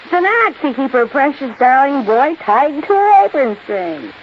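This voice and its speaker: Hissy, muffled radio drama: a biting young wife, high voice, swooping intonation. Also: female voice, big pitch swings, normal speed. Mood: bitter. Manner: sardonic, biting. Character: bitter, sarcastic young wife. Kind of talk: 1950s radio drama acting.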